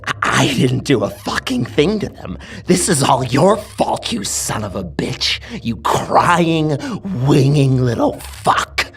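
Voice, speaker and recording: A man voicing a sadistic, grief-stricken masked torturer in a horror audio story, slow and menacing with bursts of rage, husky and gravelly. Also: medium pitch, sing-song, distant mic, pitched above the performer's own voice, heavily acted. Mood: sudden rage.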